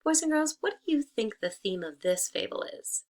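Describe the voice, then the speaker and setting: A woman's voice: a little high, lively intonation, moderate pace. A woman speaking as a teacher in a read-aloud lesson for young children, addressing them directly and explaining things simply.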